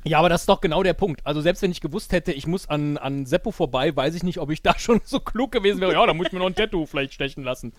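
A man with a fairly high voice talks in an animated, casual way. He is given to exclamations and slips into little quoted asides. The sound is dry, with hardly any room around him. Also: fast talker, hardly any pauses, no room sound. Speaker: podcast guest and improv player. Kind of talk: casual chat, debriefing an improv audio game.

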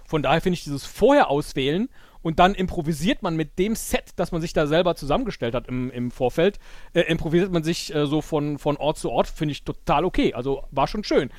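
Excitable male podcast guest with a fairly high voice, conversational and exclamatory, recorded close and dry. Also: fairly quick, dry recording. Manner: animated, exclamatory, acts out little quotes. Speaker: podcast guest and improv player. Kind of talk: casual chat, debriefing an improv audio game.

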